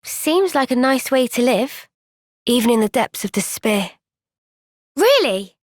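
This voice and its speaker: A woman with a British accent voicing an earnest, gentle, thoughtful young woman soldier in a video game cutscene. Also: fairly high pitch, sing-song, a little slow, no echo, clean studio sound. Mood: reflective.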